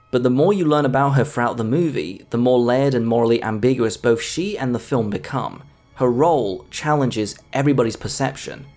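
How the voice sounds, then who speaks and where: A man delivers scripted film commentary in a medium-pitched voice with lively, varied intonation. He speaks as a video essayist walking through a review, with music playing faintly underneath. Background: background music.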